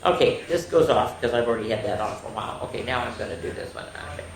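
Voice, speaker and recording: A woman reads a picture book aloud for young children in a very echoey room. She is expressive and playful, with chatty comments to her listeners. Faint noise sits behind her voice. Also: a bass voice, very fast. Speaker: children's story time host. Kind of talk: animated read-aloud of picture books with chatty asides.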